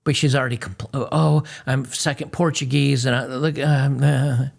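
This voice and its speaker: A man's voice: medium pitch, fairly even intonation, normal speed, vocal fry. A man hosting, giving blunt, mocking commentary on video clips. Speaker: host.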